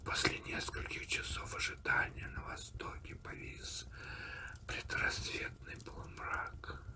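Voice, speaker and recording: Whispering man reading slowly. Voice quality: breathy, unvoiced whisper. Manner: hushed, deliberate, with frequent pauses. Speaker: audiobook narrator. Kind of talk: ASMR whispered reading of a horror story.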